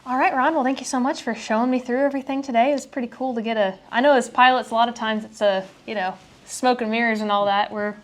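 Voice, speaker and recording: A woman's voice: on the high side, steady tone, quick pace. A woman hosting as a pilot, chatting informally in a casual interview and saying y'all. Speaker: pilot and channel host. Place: inside an airport control tower.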